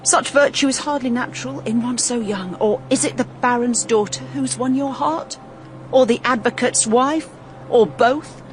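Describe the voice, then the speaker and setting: Woman acting pushy sly maid go-between, highish voice, period radio drama, bit of music under it. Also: normal speed, natural voice. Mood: insistent, pleading. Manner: coaxing, knowing, insinuating. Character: sly, insistent serving maid, a go-between for lovers.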